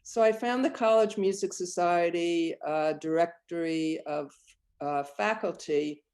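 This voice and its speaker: An older woman composer answering interview questions thoughtfully, often pausing with ums as she searches for words. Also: on the low side, darkish tone. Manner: reflective, searching, with frequent ums.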